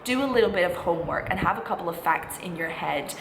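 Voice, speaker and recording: A woman's voice: on the low side, animated, fast. A woman in her twenties, a student vlogger, giving chatty, encouraging, emphatic advice straight to viewers in a casual style. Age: 20s. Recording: echoey room with clear background noise.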